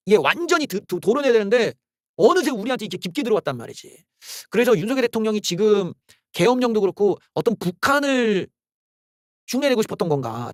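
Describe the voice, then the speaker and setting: A man's voice: high-pitched, no room sound, studio quality. A man hosting a solo political commentary channel, ranting in a heated, sarcastic way with exclamations and curses. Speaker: political YouTube host.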